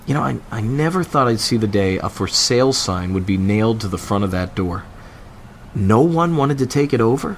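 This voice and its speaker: A man's voice: on the low side, lively intonation, moderate pace, slight background noise. An actor in an amateur audio drama playing a friendly, polite middle-aged family man. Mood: nostalgic. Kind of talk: student audio drama acting.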